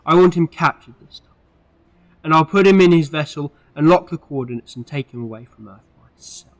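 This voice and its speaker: A man's voice: on the high side, fairly quick, natural voice, rough recording. A man playing an eccentric, moralising alien time traveller in an audio drama, speaking earnestly and emphatically. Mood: determined. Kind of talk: fan audio drama acting.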